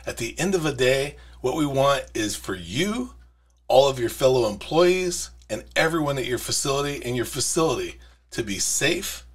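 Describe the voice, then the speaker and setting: A man's voice: mid-range voice, expressive, normal speed, husky. A middle-aged man hosting a safety podcast, speaking earnestly and directly to camera in a small studio on a studio mic. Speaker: podcast host. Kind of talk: scripted safety podcast monologue. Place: small studio with brick wall. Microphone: studio mic on arm.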